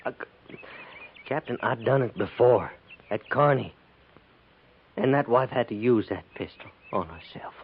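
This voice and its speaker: An actor playing a troubled, plainspoken cavalry trooper with a rural Western American accent, halting and deferential, in a 1950s radio drama. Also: male voice, mid-range voice, expressive, breathy edge. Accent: rural American West. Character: troubled, plainspoken frontier cavalry trooper, newly remarried widower. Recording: tinny, noisy 1950s radio recording.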